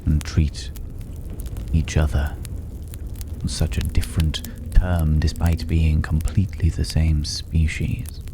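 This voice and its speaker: A man voicing a mysterious, cultured recluse in a fantasy ASMR roleplay, speaking in a measured, philosophical way with breathy, whispered edges. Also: rumbling low. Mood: probing, disdainful. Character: mysterious, cultured, solitary male stranger living among dragon's hoard. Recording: clear steady background noise.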